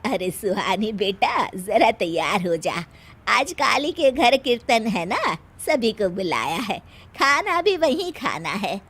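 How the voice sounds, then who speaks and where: A woman's voice: medium pitch, very animated, slow, a bit bright, husky, full character voice. A woman voicing a kindly middle-aged mother-in-law in a cartoon story, affectionate and coaxing.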